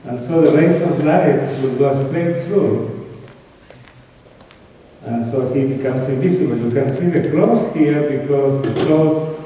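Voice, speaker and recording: A man from Chile giving an academic lecture, explaining calmly with light humor. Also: mid-range voice. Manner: relaxed, explanatory, gently humorous. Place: lecture hall. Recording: echoey lecture hall, faint room noise.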